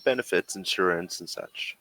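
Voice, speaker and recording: A man voicing a persuasive, condescending corporate agent in a tabletop role-playing game, with measured, probing speech. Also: sits low, bright, forceful tone, dry recording, low-quality recording.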